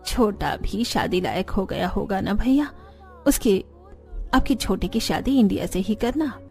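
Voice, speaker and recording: A woman's voice: mid-range voice, animated, measured pace, creaky, a little mellow. A woman voicing a caring, traditional sister of an aging man in a cartoon. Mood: affectionate, urging. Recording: background music under the voice.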